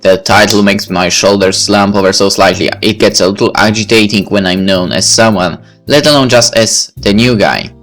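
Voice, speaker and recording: A man's voice: fairly low pitch, moderate pace, few pauses, fairly bright tone. A man reading first-person visual novel narration aloud, with music behind him.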